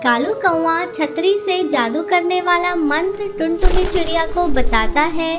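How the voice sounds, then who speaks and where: A woman's voice: extremely high pitch, lively intonation, a little slow, over loud music. A woman reading a scripted children's story as its storyteller.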